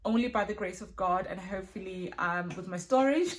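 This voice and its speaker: A woman's voice: medium pitch, a little fast. A woman from Zimbabwe, a mother and women's ministry leader, chatting casually through a self-filmed Q&A, recorded on her phone, with many fillers and tag questions. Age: adult with children.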